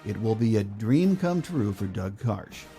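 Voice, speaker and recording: A man's voice: lowish voice, sing-song, unhurried, gravelly, warm tone, faint music behind. An American man hosting a sports podcast, delivering scripted introductions and interview questions.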